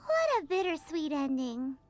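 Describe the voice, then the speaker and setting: Super high squeaky little girl sidekick from a video game, excitable, really swoopy. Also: unhurried, faint music behind. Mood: musing. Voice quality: squeaky, childlike. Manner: excitable, eager.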